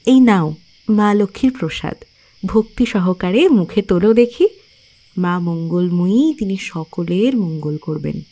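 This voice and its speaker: An actress playing a devout village housewife and protective mother in an audio drama, with an expressive, coaxing manner. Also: on the low side, measured pace. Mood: coaxing, gentle.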